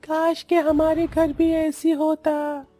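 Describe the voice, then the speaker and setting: A woman's voice: high voice, monotone, slow pace, dark tone, no echo, soft background music, pitched above the performer's own voice. A woman voicing an innocent, wishful village child with a pleading, childlike manner in a cartoon story. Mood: wide-eyed.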